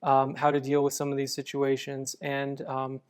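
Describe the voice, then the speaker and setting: A man answering interview questions about apologetics in a calm, measured, explanatory way. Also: mid-range voice, clear, resonant tone. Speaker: apologetics guest.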